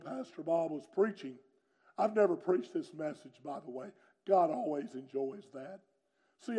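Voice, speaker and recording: A middle-aged man, preaching a sermon with personal testimony in a loud, booming, strong delivery. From North Carolina, USA. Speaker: pastor.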